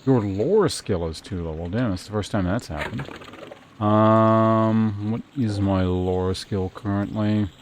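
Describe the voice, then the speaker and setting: A man's voice: on the low side, slow pace, a little hiss or hum. A man giving casual live game commentary as a streamer, thinking aloud and muttering to himself as he plays.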